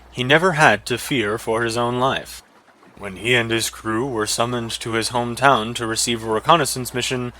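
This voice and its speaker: A man's voice: mid-range voice, pushed and bright, rough recording. A man reading a scripted fantasy adventure story as a dramatic narrator.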